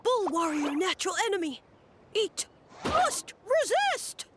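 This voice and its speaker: A tiny mushroom monster from a video game with an extremely squeaky, swooping voice. It is timid and simple, piping in broken telegraphic phrases. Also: female voice, big pitch swings, measured pace, noisy background, heavily acted. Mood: frightened, pleading. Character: tiny timid fungus creature, pleading, simple-minded.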